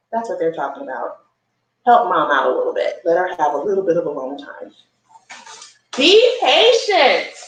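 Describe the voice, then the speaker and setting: A woman reading aloud to children at story time, encouraging, repeating key phrases slowly and adding conversational asides. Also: sits high, fairly bright tone. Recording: roomy echo, distant microphone.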